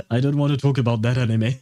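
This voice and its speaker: A man's voice: medium pitch, mellow, no room sound. A man livestreaming casual reaction commentary on a game, thinking aloud in informal language.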